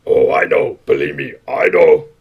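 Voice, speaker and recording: A man playing a gruff, world-weary policeman in a comic radio play, with a gravelly, husky voice and a blunt, dry manner. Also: moderate pace, lo-fi sound, natural voice.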